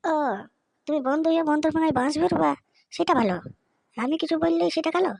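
Someone voicing a brash, high-pitched cartoon schoolboy. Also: fast, gravelly, darkish tone, no room sound, studio quality. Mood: bossy, threatening. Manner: cocky, scolding, slangy. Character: brash, foul-mouthed schoolboy chasing the new girl. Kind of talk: comedy cartoon dialogue.

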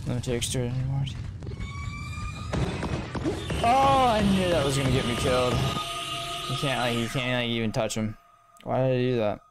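A man's voice: mid-range voice, gravelly, heavy background noise. A young man giving casual, slangy, rambling and self-deprecating commentary while he plays a video game. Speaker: gaming video host. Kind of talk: casual let's-play commentary.

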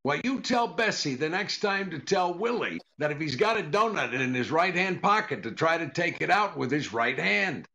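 An older actor playing a dry, exasperated comedian husband in a 1940s-style radio comedy, gravelly, stammering and repeating his questions. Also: fairly high pitch, sing-song, normal speed, hardly any pauses, bright, forceful tone, heavily acted.

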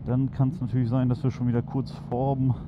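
A man's voice: mid-range voice, little pitch movement, a little fast. Chatty man riding. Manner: chatty, enthusiastic, many fillers. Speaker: motovlog host. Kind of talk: casual chat while riding. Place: on a motorcycle in city traffic outdoors. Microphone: helmet headset. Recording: muffled helmet mic with loud wind and traffic noise. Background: engine, wind and traffic.

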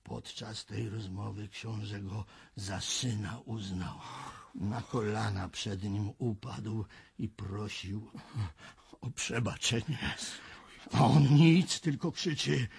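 An actor playing an agitated, haunted old castle servant in a radio drama, confessing a horror in halting, folksy, dramatic speech with a raspy, creaky voice. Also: male voice, lowish voice, exaggerated voice.

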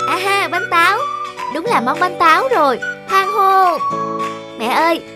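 A high, childlike, pleading cartoon girl. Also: expressive, unhurried. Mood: excited. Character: eager, pleading young girls, childish and easily upset. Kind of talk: animated cartoon dubbing. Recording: loud music behind the voice.

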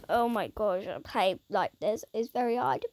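A woman giving playful, dramatic commentary over a video game, her voice croaky and strained. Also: mid-range voice, slight background noise. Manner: dramatic, silly, swings between shouting and whispering. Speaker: gaming YouTuber.

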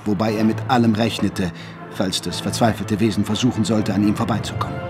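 A man narrating an audio drama in a dramatic, scripted storytelling style. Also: lowish voice. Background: dramatic music.

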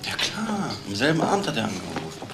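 An actor playing an edgy, street-smart burglar in a radio crime drama, talking in a blunt, wary, colloquial way. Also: male voice, mid-range voice, huge pitch swings, fast, gravelly, over loud music. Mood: confessional. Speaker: petty criminal.

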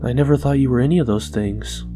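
A man's voice: medium pitch, fast talker, dark tone, close to an everyday voice. A young man voicing an anxious, earnest young man in a comic dub, hesitant and doubtful in dramatic dialogue. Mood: troubled, hesitant. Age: young adult. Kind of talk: dramatic dialogue and inner narration. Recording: music behind the voice.

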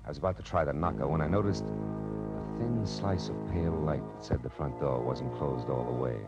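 A tough, wisecracking American detective from a vintage radio noir serial. His voice sits low and he talks in a wry, clipped, hardboiled way. Prominent music plays behind him. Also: moderate pace, dull audio. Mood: hardboiled narrating. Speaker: lead actor narrating a detective drama. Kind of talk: 1940s radio noir acting, hardboiled narration.

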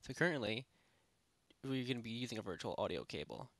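A man narrating a software tutorial in a casual, unscripted, rambling way, speaking informally with lots of filler phrases. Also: medium pitch, animated, brisk. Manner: rambling, informal, repeats "basically" and "you know". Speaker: tutorial presenter. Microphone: close headset microphone.

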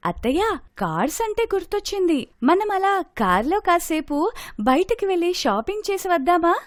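Woman playing a breezy high-pitched young wife. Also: sing-song, normal speed, no room sound, clean studio sound. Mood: breezy, casual. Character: spoiled, breezy rich young daughter-in-law.

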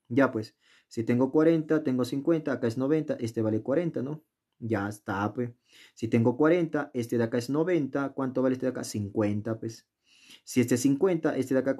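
Chatty math tutor, mellow voice. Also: male voice, medium pitch. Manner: chatty, asks the viewer questions, talks to himself while solving. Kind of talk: casual worked-problem lesson. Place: indoors at a desk, filming the worksheet from above.

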